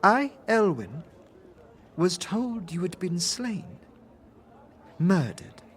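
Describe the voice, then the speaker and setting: Man voicing a stately, high-pitched, slow-speaking mysterious woman. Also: very animated, exaggerated voice. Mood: grave, sorrowful. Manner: stately, halting, formal, interjecting own name. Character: long-lived mysterious female being, formal, wistful, guarded. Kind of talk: fantasy game audio drama acting.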